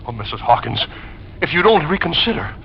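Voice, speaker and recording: An actor playing a nervous, pleading, eerily obsessed widower with a halting, insinuating manner in an old-time radio suspense play. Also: male voice, fairly high pitch, very animated, vocal fry. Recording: muffled, noisy vintage radio recording.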